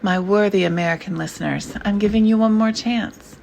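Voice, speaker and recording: A woman's voice: mid-range voice, brisk, creaky, darkish tone, slight background noise, natural voice. A woman voicing a persuasive totalitarian propagandist who talks in an oily, coaxing, sneering way. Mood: menacing.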